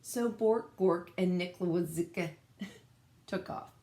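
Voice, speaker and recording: A woman reading a picture book aloud as a teacher, pausing to explain and put questions to young children. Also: fairly low pitch, warm tone. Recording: echoey room sound.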